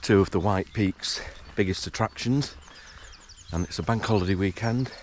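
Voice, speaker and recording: A man narrating a morning countryside walk in a relaxed, hesitant way, full of fillers, his voice a little croaky from just waking up. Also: fairly low pitch, normal speed, slight background noise. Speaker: hiking vlogger. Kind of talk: casual walking commentary. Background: rural outdoor sounds, animals.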